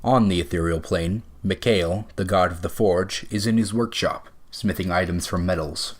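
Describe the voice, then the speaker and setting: A man's voice: sits low, moderate pace. A man narrating a scripted fantasy story as its narrator.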